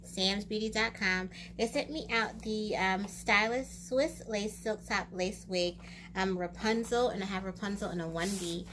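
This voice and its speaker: A young American woman chatting casually and expressively to camera in a product review, with drawn-out exclamations. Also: medium pitch, a bit bright, a little hiss or hum. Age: young adult. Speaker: beauty vlogger and wig reviewer. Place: small room indoors.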